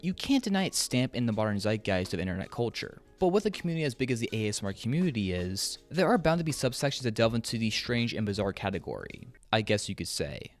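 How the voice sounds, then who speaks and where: A man's voice: fairly low pitch, sing-song, quick pace, hardly any pauses, music in the background. A man hosting a scripted video essay as a commentator, with a sarcastic, joking manner and casual swearing. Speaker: YouTube commentator and host.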